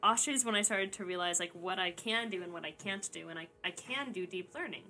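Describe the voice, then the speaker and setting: Bright-voiced animated young woman. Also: lowish voice, soft background music. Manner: animated, laughs often, quick asides. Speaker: podcast co-host. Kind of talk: casual chat.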